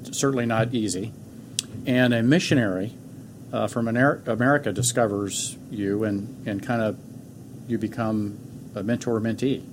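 A man hosting a podcast, interviewing a guest in an upbeat, encouraging way. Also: medium pitch. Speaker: podcast host. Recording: faint background noise.

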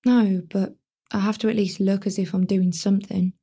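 An actress playing a quick-tempered, wry teenage schoolgirl in a radio drama, with a sarcastic, dramatic delivery. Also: medium pitch, flat delivery, mellow, husky.

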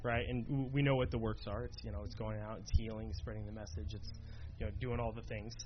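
A man's voice: on the low side, flat delivery, quick pace. A man leading a Bible study in a conversational way, often checking in with his listeners. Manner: conversational, many fillers and "right?" checks. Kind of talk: casual teaching to a small group. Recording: dull lo-fi room recording, faint noise.

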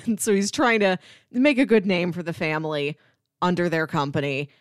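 A woman with a fairly low voice speaks in casual conversation, explaining things as a podcast co-host. The sound is dry and close, with very little room in it. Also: normal speed, no room sound. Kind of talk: casual film discussion and plot summary.

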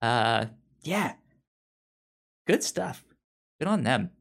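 A man's voice: mid-range voice, sing-song, drawn-out words, gravelly, fairly bright tone. A man co-hosting a podcast in casual chat, often stammering and repeating his words. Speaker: podcast co-host.

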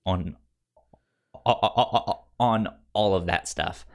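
A man hosting a podcast in casual conversation, halting and stuttering on the first sounds of words, with an enthusiastic manner. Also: deep voice. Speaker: podcast review show host.

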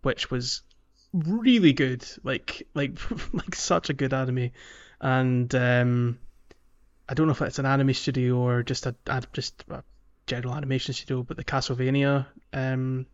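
Man, mid-pitched voice, hesitant and halting, casual podcast chat, slightly dull audio. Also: steady tone. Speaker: podcast co-host.

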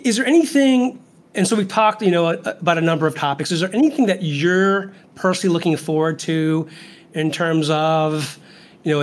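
A man hosting a casual interview, informal and halting as he rephrases his questions. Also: fairly high pitch. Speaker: interviewer, podcast host.

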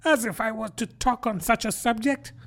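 Storybook mouse, played by a man: tiny, touchy, very high and shrill, passionately offended. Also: moderate pace, gravelly, a bit bright, exaggerated voice. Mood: trembling indignation. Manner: indignant, emphatic. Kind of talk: storybook character voice.

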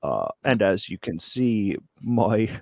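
A man giving a comic mock lecture in a put-on Australian accent, hesitant and deadpan. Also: on the low side, slow, gravelly, no room sound, clean studio sound. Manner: putting on a joke Australian accent. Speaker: comic presenter giving a slideshow talk. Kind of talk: mock lecture, improvised comedy presentation.

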